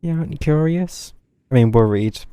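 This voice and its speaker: Mellow, offhand game streamer. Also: mid-range voice, expressive, normal speed, smooth, no echo. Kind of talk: casual live game commentary. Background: video game music.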